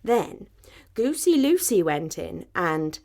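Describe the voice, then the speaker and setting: A woman storyteller narrating a children's fairy tale in an expressive, rhythmic way. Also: on the low side, takes it slowly, gravelly.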